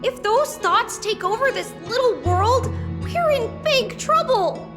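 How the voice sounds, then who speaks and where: Very high, bubbly little girl. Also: lively intonation, slow, over music, heavily acted. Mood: alarmed. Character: small cheerful excitable girl companion, earnest and plucky. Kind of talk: animated game voice acting.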